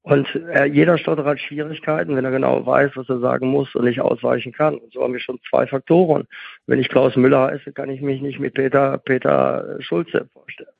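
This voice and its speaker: A man with a husky voice, hosting a conversational interview as a therapist and explaining emphatically through questions he answers himself. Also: medium pitch, flat delivery, a little fast, no echo. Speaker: host and stuttering therapist. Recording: phone-like, band-limited sound.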